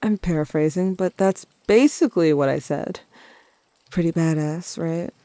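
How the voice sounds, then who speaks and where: A performer as a grieving teenager telling their story in an audio drama. The voice is mellow and mid-pitched, intimate and dramatic with wry asides. It is recorded close and dry. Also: moderate pace, dry recording, lightly acted. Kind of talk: first-person audio drama narration.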